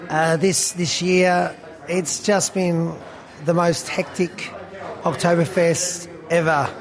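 High-voiced man over crowd chatter. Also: flat delivery, takes it slowly, low-quality recording. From Australia. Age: 50s. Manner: chatty and rambling, many fillers and laughs. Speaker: Oktoberfest band musician. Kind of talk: casual interview chat. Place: busy beer hall restaurant.

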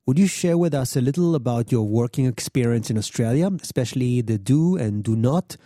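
A man's voice: mid-range voice, expressive, a little fast, warm tone, no echo, studio quality. A man hosting a radio interview, presenting his guest and putting questions. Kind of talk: radio interview with scripted introduction.

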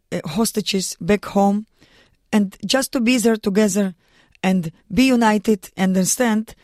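A woman's voice: medium pitch, darkish tone, no echo. Earnest Russian-accented woman, dry studio. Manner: earnest, halting non-native English with restarts. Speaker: guest, mountaineer and lawyer. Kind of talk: radio studio interview. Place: radio studio.

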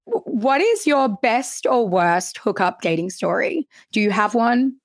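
A woman's voice: a little high, very animated, unhurried, no echo. A woman in her forties hosting a podcast, asking questions in a friendly, playful and encouraging way. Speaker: podcast host. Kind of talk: casual interview chat.

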